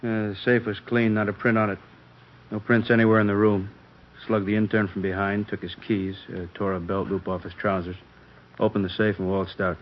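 A laconic police technician acted by a man, speaking in a lowish, even monotone. It has the dull sound of an old radio crime show. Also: a little fast, lightly acted. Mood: matter-of-fact. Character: matter-of-fact police fingerprint sergeant. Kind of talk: 1950s radio police drama acting. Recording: muffled, faintly noisy vintage radio recording.

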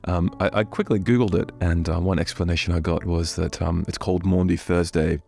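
A man with a head cold and a hoarse voice, leading prayers and giving an earnest, unhurried reflection as a church minister. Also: low voice, fairly even intonation, dark tone, faint music behind.

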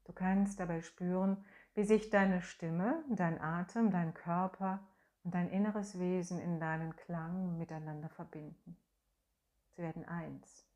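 A woman leading a guided voice and breathing meditation in a slow, soft, soothing way, humming tones to demonstrate. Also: on the low side, steady tone, lo-fi sound. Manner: slow, soft, soothing instructions with long pauses; hums and sings sustained tones as demonstration.

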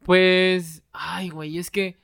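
A young man from Mexico with a Mexican Spanish accent, talking in a casual chat, slangy and quick to laugh, then slow and thoughtful. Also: high voice, little pitch movement, vocal fry, a bit bright, clean tone, dry recording, studio quality. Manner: slangy, laughs often, turns reflective and slow when talking about his breakup.

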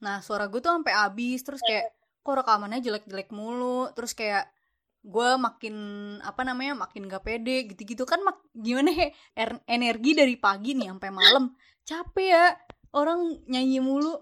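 A woman's voice: fairly high pitch, moderate pace, a bit bright. A young woman, a student chatting casually about her story and padding it with slangy fillers. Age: young adult. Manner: chatty, slangy Jakarta speech with many fillers. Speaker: university student.

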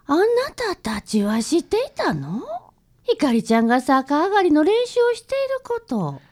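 A woman acting an anxious, doting grandmother in a radio drama, with a breathy voice. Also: high-pitched, huge pitch swings, normal speed, a little mellow. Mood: subdued. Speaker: amateur radio drama actor.

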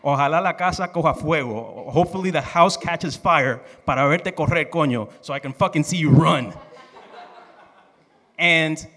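A man's voice: on the high side, normal speed, fairly bright tone. A man from the Dominican Republic and Miami telling a personal story on stage in an informal, joking manner. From Dominican Republic and Miami, USA. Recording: reverberant live hall.

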